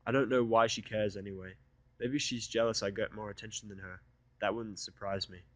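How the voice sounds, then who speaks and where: A man's voice: on the low side, steady tone, fairly quick, no room sound. A man narrating first-person fiction with a sardonic, irritable delivery. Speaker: audiobook narrator.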